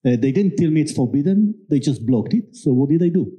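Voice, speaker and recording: A middle-aged man with a thick Israeli accent, telling a candid, self-deprecating story on a panel stage with many pauses and fillers. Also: on the high side, lively intonation, warm tone, breathy edge, poor audio. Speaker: guest, security company executive. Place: event stage before an audience.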